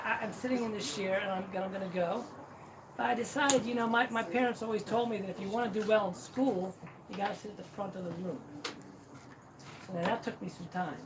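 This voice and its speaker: Middle-aged man, self-deprecating storyteller, miked from afar, loud noise behind. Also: high voice. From Cincinnati, Ohio, US. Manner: self-deprecating, joking, frequent restarts. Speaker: speaker at a celebration. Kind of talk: humorous personal storytelling. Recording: distant mic, noisy hall.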